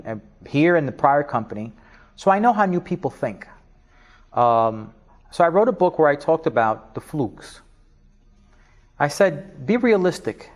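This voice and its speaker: A middle-aged man from Spanish Harlem with a New York accent, giving an animated interview, laughing often and restarting his sentences. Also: on the high side. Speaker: interview guest, business leader. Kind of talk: casual interview talk.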